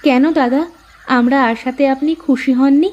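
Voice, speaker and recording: A woman's voice: high-pitched, steady tone, unhurried, darkish tone, muffled recording. A woman voicing a kindly, polite village housewife in a cartoon story, with a homely manner.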